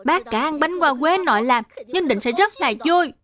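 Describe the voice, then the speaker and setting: A woman's voice: high voice, lively intonation, normal speed, a bit bright. A woman voicing a sweet, earnest little boy in a dubbed cartoon, polite and pleading. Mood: delighted.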